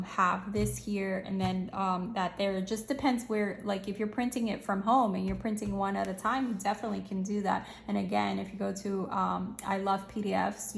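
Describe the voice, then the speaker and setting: Woman reviewer, gravelly, slightly hoarse, chatty, echoey room. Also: mid-range voice, flat delivery, moderate pace, hardly any pauses, slight background noise. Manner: chatty, upbeat, slangy, thinks aloud. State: losing her voice, slightly hoarse. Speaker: channel host reviewing planners. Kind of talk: casual product review walkthrough.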